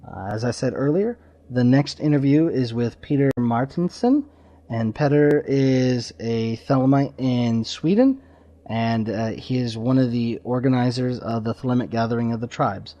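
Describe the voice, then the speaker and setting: A man's voice: mid-range voice, normal speed, dark tone, no echo, dull audio. A man speaking as a podcast host, interviewing guests in a conversational way.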